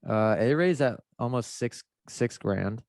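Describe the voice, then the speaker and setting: A man's voice: medium pitch, expressive, measured pace, creaky, darkish tone, no room sound, studio quality. A man chatting casually in a podcast, rambling and laid-back with lots of fillers, his nose a little stuffed up. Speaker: motocross racer.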